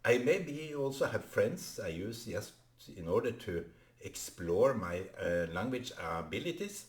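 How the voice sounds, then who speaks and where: A man whose first language is Norwegian, talking to camera in a halting vlog monologue full of fillers and restarts. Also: lowish voice, lively intonation, reverberant. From Norway. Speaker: vlogger. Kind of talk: unscripted solo vlog monologue.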